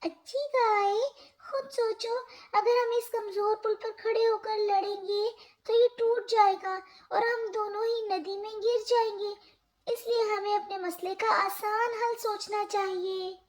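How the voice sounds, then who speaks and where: Very high-pitched clever little goat. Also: female voice, steady tone, a little slow. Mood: persuasive. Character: clever, calm female goat, small and reasoning.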